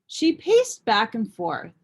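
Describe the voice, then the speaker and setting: A woman reading a children's storybook aloud in expressive narration. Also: on the high side, takes it slowly, husky. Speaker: storybook reader.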